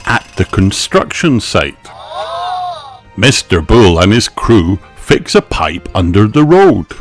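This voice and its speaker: Very animated, slow-paced male storybook narrator. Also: fairly low pitch, faint music behind. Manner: expressive, simple and deliberate phrasing for young children. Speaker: audiobook reader. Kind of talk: scripted children's storybook narration.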